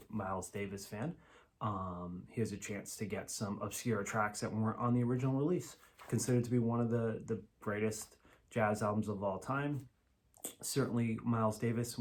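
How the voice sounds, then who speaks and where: A middle-aged man with a New England accent, chatting casually as a vlog host, relaxed and enthusiastic, often trailing off at the ends of phrases. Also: fairly low pitch. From New Jersey, raised in Massachusetts. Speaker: vinyl collector host.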